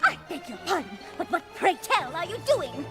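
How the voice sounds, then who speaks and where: A woman's voice: extremely high pitch, huge pitch swings, moderate pace, music in the background, exaggerated voice. A woman voicing a prissy, dramatic, ladylike young pony, with refined diction and theatrical, haughty complaining.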